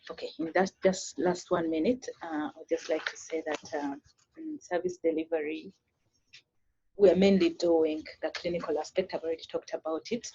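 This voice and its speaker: A mental health official speaking on a conference panel, haltingly, with many fillers and restarts. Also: medium pitch, warm tone, husky, dry recording, highs cut off.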